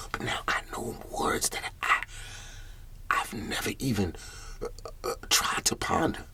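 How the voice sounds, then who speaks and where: A man playing a shaken, humble country farmer in an ASMR roleplay, speaking in a halting, breathy whisper and repeating his words. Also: low-pitched, pushed and bright, full character voice.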